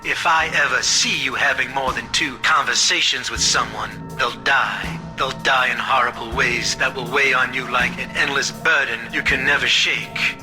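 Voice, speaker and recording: An actor playing a cold, sadistic espionage chief who speaks in articulate, deliberate threats in an audio drama. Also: male voice, mid-range voice, fairly even intonation, lightly acted, low-quality recording. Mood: gloating threat. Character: cold sadistic male espionage chief, villain taunting his enemy. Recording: music bed under the voice.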